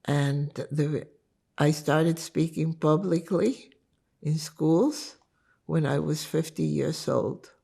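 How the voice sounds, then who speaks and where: An older woman from Lithuania whose first language is Yiddish, recalling her life story in an interview in an unhurried, reflective way. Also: sits low, creaky, mellow, slightly rough. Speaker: interviewee giving testimony.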